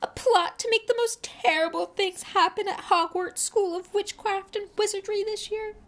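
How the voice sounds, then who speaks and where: A woman voicing a tiny, servile male house-elf with a squeaky, strained, trembling voice, who talks about himself in the third person and pleads fervently. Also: steady tone, normal speed, fairly bright tone. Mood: pleading.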